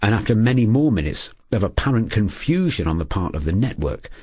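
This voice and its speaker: Deep, husky, animated male narrator. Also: a little fast. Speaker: documentary narrator. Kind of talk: scripted narration, storytelling.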